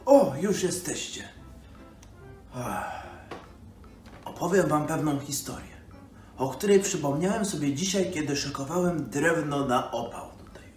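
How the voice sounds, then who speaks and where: A man's voice: on the high side, animated, unhurried, long pauses, darkish tone. A man playing a nostalgic old storyteller, rambling folksily to children with hesitations and sighs. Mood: reminiscing. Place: living room by a fireplace. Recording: roomy, distant microphone, music behind.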